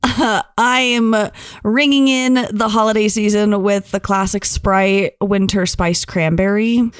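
A woman's voice: mid-range voice, steady tone, unhurried, clean tone, no room sound, clean studio sound. A woman from the United States co-hosting a casual roundtable podcast, bubbly and steering the conversation. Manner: bubbly, leading the talk. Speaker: podcast co-host.

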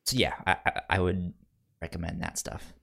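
A man's voice: deep voice, level tone, normal speed, gravelly, pushed and bright. A man hosting a podcast in casual review chat, rambling a little as he thinks aloud. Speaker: podcast host.

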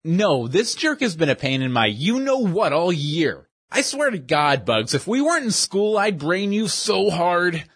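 A man's voice: sits high, big pitch swings, unhurried, hardly any pauses, a bit bright, breathy edge, no echo, clean studio sound, pitched above the performer's own voice, exaggerated voice. A man doing a pushy girl reporter. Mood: bossy. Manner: pushy and brash. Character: bold, bossy girl reporter.

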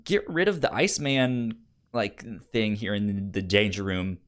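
A podcast co-host in a casual comics discussion, halting and often repeating or stumbling over words. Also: medium pitch, sing-song.

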